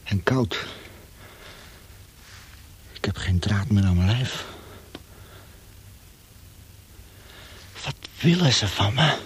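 Radio play captive: fearful inner monologue, lowish, very breathy voice, hushed and hesitant, trying to keep control. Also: male voice, full character voice. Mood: fearful, shivering. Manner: hushed, halting interior monologue. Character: terrified imprisoned male writer, reasoning with himself alone.

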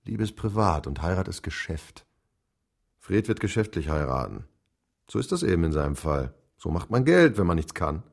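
A man's voice: deep voice, very animated, moderate pace, vocal fry, heavily acted. An actor playing a ruthless, cynical middle-aged industrialist father in a radio drama, brusque, mocking and volatile. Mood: scornful, mocking.

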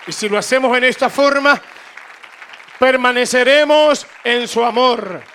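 A man's voice: extremely high pitch, unhurried, pushed and bright, a faint crowd, a little hiss or hum. A man with a Latin American Spanish accent preaching an impassioned, informal sermon, emphatic and repetitive, prodding his listeners to respond. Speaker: preacher taking questions from congregation.

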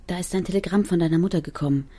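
An actress playing a frail, gentle, ailing wife in a radio drama, speaking softly and intimately. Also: female voice, fairly low pitch, racing, noisy background, lightly acted. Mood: weary, gentle.

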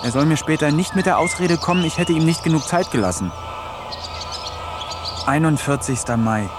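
A man's voice: a little high, moderate pace, noisy background, natural voice. A man playing an eccentric village man who talks to animals, narrating a German radio play in a wry, musing first-person voice. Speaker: narrator and lead character.

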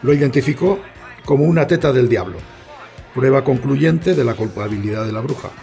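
A man's voice: medium pitch, lively intonation, a little fast, vocal fry, mellow, faint music behind. A man playing a storyteller who recounts a historical account formally in an audio play. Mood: solemn. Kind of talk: scripted dramatic narration in an audio play.

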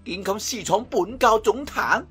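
A man's voice: high voice, expressive, a little slow, a bit bright, breathy edge, faint music behind, pitched above the performer's own voice, exaggerated voice. A man voicing a proud, indignant martial arts disciple with a Cantonese accent, speaking sharply. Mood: indignant, alarmed.

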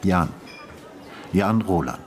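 An older man with a very low voice, a retired detective in a naturalistic radio play. He asks short, sober questions, calm, patient and probing. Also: slow pace. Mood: insistent, attentive. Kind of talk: naturalistic German radio drama acting.

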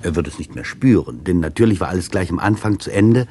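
A man reading a literary story as dramatic narration, in a deliberate and intense storytelling manner. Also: sits low, lively intonation, warm tone, a little hiss or hum. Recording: music behind the voice. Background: music.

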